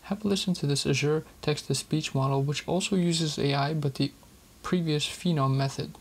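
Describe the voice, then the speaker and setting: A man hosting a casual tech video, explaining software in a chatty, candid way. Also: on the high side, steady tone, moderate pace, creaky. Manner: chatty, candid about frustrations.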